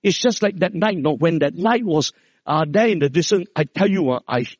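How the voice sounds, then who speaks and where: High-voiced pastor preaching Christmas. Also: male voice, lively intonation, moderate pace, husky, no room sound. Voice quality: loud voice. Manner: storytelling preacher, drops low to stress key words. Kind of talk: Christmas sermon. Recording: dull, dry lo-fi sound.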